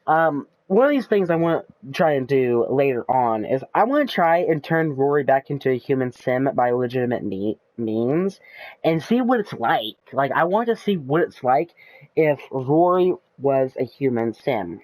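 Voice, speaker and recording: A man's voice: medium pitch, normal speed, no echo, rough recording. Rambling man with a dry close sound. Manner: rambling, lots of ums and uhs, excitable; casual swearing. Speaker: gaming YouTuber. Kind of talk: casual rambling game commentary.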